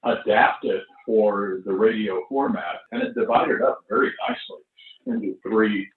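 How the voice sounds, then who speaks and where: Older male guest, measured raconteur, echoey room, thin phone-like audio. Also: lowish voice, steady tone, distant mic. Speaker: guest, actor and theatre producer. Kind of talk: conversational explanation.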